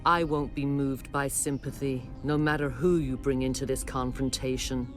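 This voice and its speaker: An actress playing a cold, imperious alien matriarch villain, formal and menacing, in a dramatic video game cutscene. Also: female voice, extremely low pitch, flat delivery, moderate pace. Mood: cold, grandiose. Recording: prominent dramatic music behind the voice.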